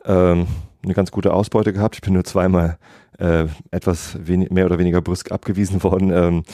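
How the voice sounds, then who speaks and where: A man's voice: sits low, little pitch movement, fairly quick, mellow, no echo, clean studio sound. A man working as a street reporter, interviewing fans outdoors and chatting casually with many fillers. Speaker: street reporter for a fan radio show. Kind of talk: casual vox-pop interviews and summary. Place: outdoors near a stadium after a match.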